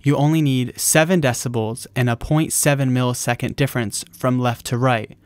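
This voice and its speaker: A man's voice: mid-range voice, steady tone, normal speed, no room sound, studio quality. A man presenting an explanatory video tutorial in a plain-spoken, informal instructional way.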